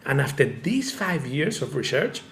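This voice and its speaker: A man's voice: mid-range voice, sing-song, a bit bright, slight background noise. Spanish-accented man presenting, roomy echo. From Spain. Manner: halting, fillers like okay and eh, searches for words. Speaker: tech company founder presenting. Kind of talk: online presentation. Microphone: video call.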